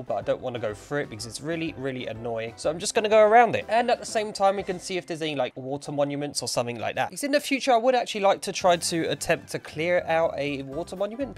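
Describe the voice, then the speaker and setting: Young male gamer, bunged-up nose and strained voice, upbeat let's-play chatter, slightly high voice, soft music under it. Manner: chatty, upbeat, thinking aloud while playing. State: congested, partly lost voice from illness. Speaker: gaming YouTuber. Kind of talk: casual Minecraft commentary.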